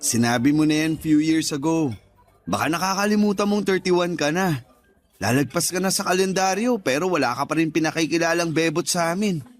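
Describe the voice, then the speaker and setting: A man's voice: a little high, a little fast, faint music behind, lightly acted. A man with a Filipino accent playing a teasing, nosy friend in his thirties in a radio drama. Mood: prodding concern. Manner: casual, prodding.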